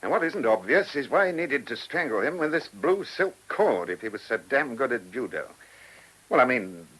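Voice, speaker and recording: An actor in a radio play voicing a brusque, commanding chief inspector who questions with brisk authority, his voice slightly husky. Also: medium pitch, sing-song, fairly bright tone, poor audio. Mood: probing, impatient. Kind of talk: radio drama acting. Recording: hissy old radio recording.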